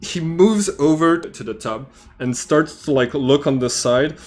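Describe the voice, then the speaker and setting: A man narrating as game master of a tabletop game, loose and relaxed, with many fillers. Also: on the high side, measured pace.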